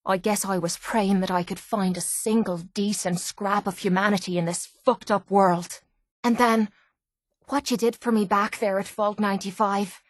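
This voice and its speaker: A woman's voice: medium pitch, no room sound, studio quality, natural voice. Actress as a tough, wounded Irish fighter woman. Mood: vulnerable, confessional. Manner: earnest, confessional, salty-tongued. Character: tough, wounded young woman fighter, blunt yet vulnerable. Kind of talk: video game character acting.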